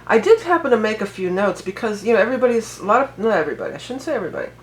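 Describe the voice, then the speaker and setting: A woman's voice: medium pitch, big pitch swings, racing, poor audio. A woman astrologer still getting over a head cold, giving a casual, rambling forecast full of fillers and self-corrections.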